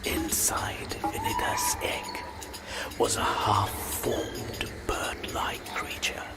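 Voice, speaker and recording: A man playing an ancient, serene god who narrates myths in a video game with a breathy, solemn, measured delivery. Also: medium pitch, wildly swooping pitch, full character voice. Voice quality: breathy, whispery. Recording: loud music under the voice.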